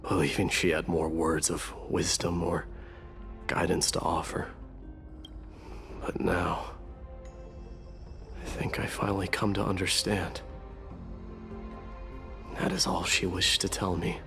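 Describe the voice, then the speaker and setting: A deep, creaky-voiced exiled warrior prince in a game monologue. He is slow, grave and resolute, turning his thoughts inward over soft music. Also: flat delivery, heavily acted. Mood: melancholy, reflective. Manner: grave, measured, introspective. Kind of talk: dramatic video game monologue.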